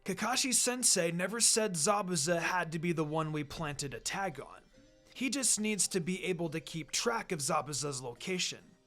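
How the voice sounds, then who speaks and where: Casting: a reader playing a brash, scrappy boy ninja, animated delivery, music bed. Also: medium pitch, normal speed, natural voice. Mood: sly confidence. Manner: brash, explaining eagerly.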